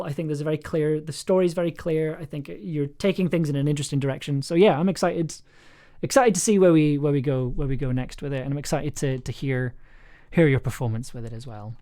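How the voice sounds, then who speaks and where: A man's voice: fairly high pitch, a little mellow. A man hosting a casual livestream chat, talking in an effusive, rambling, enthusiastic way. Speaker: host, audio drama writer and producer. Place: remote online call on a livestream.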